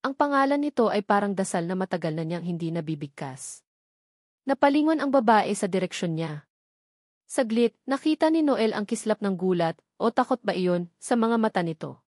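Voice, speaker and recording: A woman's voice: mid-range voice, expressive, moderate pace, darkish tone, no room sound. A woman with a Filipino accent reading a dramatic scripted story as an audiobook narrator, in a dry recording.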